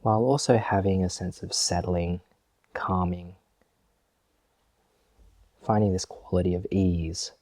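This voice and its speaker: A man leading a guided meditation as a teacher, speaking gently and in a measured way with long pauses. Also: low-pitched, steady tone, dry recording.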